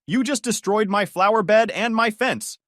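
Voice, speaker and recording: A woman's voice: normal speed, a bit bright, no echo, studio quality, close to an everyday voice. A man voicing a furious middle-aged woman neighbor who rants indignantly over her ruined garden. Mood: outraged. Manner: shrill, indignant ranting.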